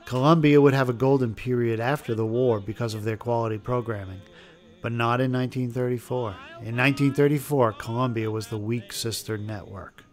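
A man's voice: mid-range voice, fairly even intonation, fairly quick, faint music behind. A man reading scripted narration as the narrator of a history documentary.

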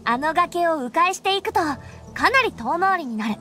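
A woman voicing a chatty, eager teenage girl in a Japanese anime game, lively and friendly. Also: extremely high pitch, normal speed, faint music behind, full character voice. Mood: playful, excited.

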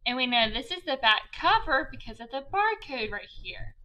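A woman teacher speaking to young children in a read-aloud lesson, spelling things out deliberately. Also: high-pitched, very animated, pushed and bright.

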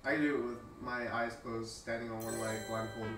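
A young man bantering with his partner on a home cooking stream, teasing and boasting with a deadpan edge. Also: mid-range voice, a little fast. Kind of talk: casual playful banter. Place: home kitchen, indoors. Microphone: room mic from a fixed camera. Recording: echoey kitchen with music behind. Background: background music.

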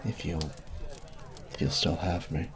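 A man's voice: low voice, fairly even intonation, unhurried, crowd noise. A man voicing a sweet, goofy college jock in an ASMR roleplay, earnest and flustered, close on a binaural mic. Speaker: voice actor.

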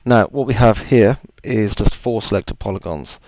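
A man with a gravelly, deep voice, chatting his way through a software tutorial with plenty of ums and asides. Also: moderate pace, no echo. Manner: chatty, self-deprecating, thinks aloud with ums. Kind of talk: screen-recorded software tutorial.

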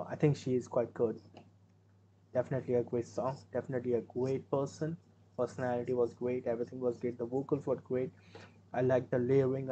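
A man's voice: medium pitch, dark tone, lo-fi sound, a little hiss or hum. A young man with an Indian accent, giving rambling, casual commentary as he reacts to a music video in a small room at his webcam. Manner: rambling, self-correcting, chatty.

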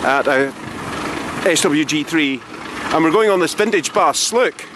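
Man, middle-aged, chatty and excitable, slightly high voice, loud engine noise around him. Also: measured pace. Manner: chatty, excitable, playful sign-offs. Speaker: vlogger filming a bus ride. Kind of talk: casual handheld vlog chat. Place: old vintage bus and city street. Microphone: handheld camera mic.

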